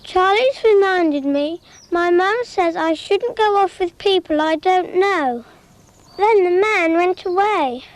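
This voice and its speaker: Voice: very high-pitched, slow. An actor playing an innocent young child who earnestly tells a simple cautionary tale in a cartoon public information film.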